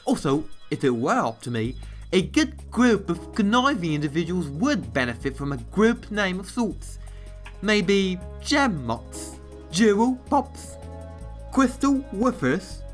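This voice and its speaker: A woman's voice: fairly low pitch, very animated, slow, faint music behind. A woman voicing a haughty, scheming, ladylike pony mare with an affected, dramatic manner in a parody cartoon. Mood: haughty, sly. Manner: affected, dramatic, drawling grand phrases. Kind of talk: fan parody cartoon dub.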